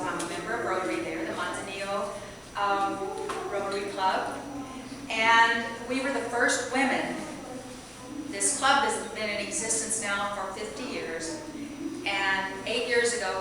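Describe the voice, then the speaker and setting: A middle-aged woman giving a rambling, chatty guest talk, projecting her voice loudly to a club meeting. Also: mid-range voice. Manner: loud, projecting voice; chatty, rambling, self-deprecating. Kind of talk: informal speech to a club meeting. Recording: echoey hall from far off, audience.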